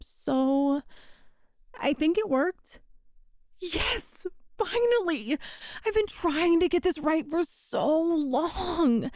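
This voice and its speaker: A woman's voice: high-pitched, a little mellow, no echo, clean studio sound, heavily acted. A woman playing an awkward, chatty time traveler from the far future in an ASMR roleplay, rambling and hesitant. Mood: pleased, smug wonder. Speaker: audio roleplay performer.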